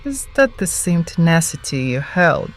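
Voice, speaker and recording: A slow, low-voiced ancient vampire witch. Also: very animated, a little hiss or hum. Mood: taunting. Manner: slow, taunting, theatrical menace. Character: ancient starved vampire witch, cruel, mocking, menacing. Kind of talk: audio drama acting.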